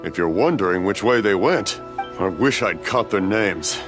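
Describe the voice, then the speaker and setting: Low-voiced, weary townsman acted by a man. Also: lively intonation, moderate pace, music in the background, natural voice. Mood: apologetic. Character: weary, apologetic townsman, hard of hearing. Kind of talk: video game voice acting.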